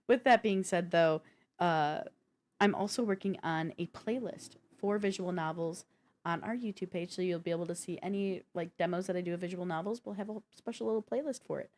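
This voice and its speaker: A woman's voice: mid-range voice, a little mellow. A woman hosting a gaming news show in a casual, chatty live-stream style, speaking informally and directly to viewers.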